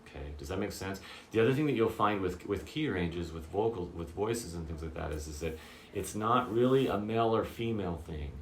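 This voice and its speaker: A man with a very low voice giving a casual guitar lesson, checking in often with "okay?" and "does that make sense?". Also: expressive, brisk, echoey room, a little hiss or hum. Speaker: guitar teacher.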